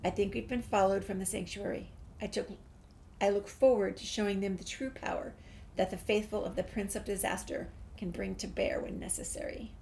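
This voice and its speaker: A woman with a creaky voice, reading a game's documents and dialogue aloud as a gameplay streamer. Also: mid-range voice, fairly even intonation, a little fast, darkish tone. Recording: faint background noise, game ambience.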